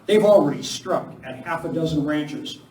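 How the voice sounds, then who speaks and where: An actor playing an earnest, commanding masked lawman hero in a live Western radio play, speaking deliberately. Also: a little high, a little mellow, close to an everyday voice. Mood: steady, grave. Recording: echoey room from far off, noisy.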